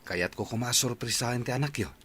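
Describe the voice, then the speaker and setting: A man's voice: medium pitch, a little fast, sharp tone, husky, no echo. A man playing a devoted, slightly shy young boyfriend in a radio drama, speaking politely and hesitantly with an Ilocano accent. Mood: polite, eager.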